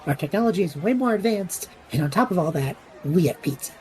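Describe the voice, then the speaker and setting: Casting: woman as wisecracking extrovert male adventurer, lowish mellow voice, lively delivery, audio drama. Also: a little fast, a little hiss or hum, natural voice. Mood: showy, playful. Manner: flippant, wry, theatrical. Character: impulsive, chatty extrovert young male traveller, showman.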